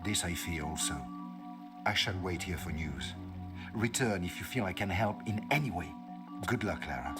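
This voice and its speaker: An actor playing a worried, grave local guide in a video game, speaking formally and solemnly, with music underneath. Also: male voice, low voice, lively intonation, normal speed. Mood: grave concern. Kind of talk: video game cutscene acting.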